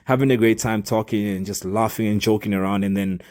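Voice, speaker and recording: A young man from Zambia telling his own life story in a casual, earnest and open way, with animated emphasis. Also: fairly low pitch, a little fast.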